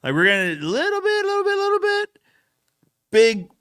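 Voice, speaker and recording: A man hosting a casual, bantering recap show in an animated, informal way. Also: very high voice, normal speed. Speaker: show host.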